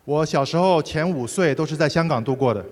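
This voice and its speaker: A man renders a preacher's words very quickly and fluently, in a slightly high voice. His lines arrive in brisk bursts between the speaker's phrases. A large hall's long reverb surrounds him. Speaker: interpreter. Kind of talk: consecutive sermon interpretation. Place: large church hall. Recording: hall reverb.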